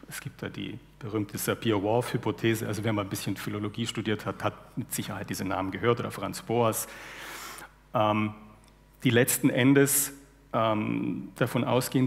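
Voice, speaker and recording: A man's voice: fairly low pitch, vocal fry. A man in his forties, a literary translator, explains his ideas on a panel in a thoughtful, lecture-like way with dry irony, speaking into a headset mic on a stage before an audience. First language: German. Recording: long hall reverb.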